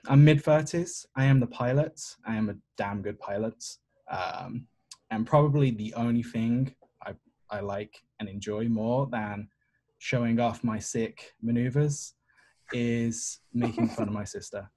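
A man in his thirties with an average-pitched voice talks casually in character at a tabletop game. His delivery is cocky and teasing. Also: unhurried, mellow. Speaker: player. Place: indoors at home, online video call.

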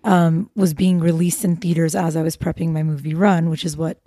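A woman's voice: low-pitched, little pitch movement, a little fast, mellow, no room sound, studio quality. A woman film producer from Los Angeles, chatting eagerly as a podcast guest, with many fillers and restarts. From Los Angeles, USA. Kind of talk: casual interview chat.

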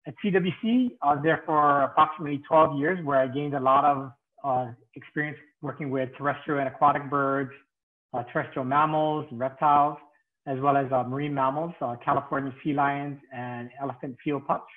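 A man's voice: medium pitch, fairly even intonation, fairly quick. A middle-aged man from Hawaii, a field veterinarian presenting over a video call, hesitant with many fillers. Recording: tinny phone-like call audio.

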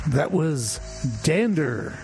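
A man working as a guest DJ, back-announcing songs on community radio in a laid-back, pausing way. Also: fairly high pitch, big pitch swings, gravelly, music in the background.

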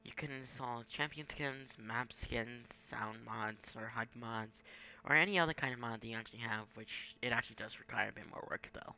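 Creaky, bright-voiced young man, offhand tutorial. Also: mid-range voice, moderate pace, few pauses, muffled recording. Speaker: gaming tutorial maker. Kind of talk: casual screen-recorded software tutorial. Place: indoors at a home computer.

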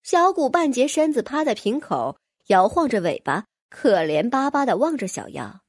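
A woman's voice: a little high, very animated, moderate pace, breathy edge, no room sound, studio quality. A woman narrating fantasy fiction as an audiobook reader, in a scripted, dramatic storytelling style.

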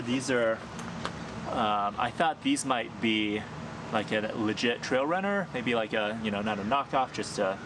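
A man in his thirties casually talking through his hiking gear in a sunny backyard, thinking aloud with fillers and small laughs. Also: on the low side. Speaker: hiking vlogger. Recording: outdoor noise behind the voice.

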